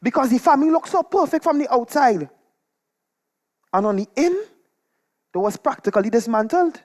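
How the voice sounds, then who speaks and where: Trini guy onstage doing a dramatic gossipy story in dialect, mid-pitched for a performer, very animated. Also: moderate pace. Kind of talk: theatrical comic monologue in dialect. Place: live on stage at a performance venue.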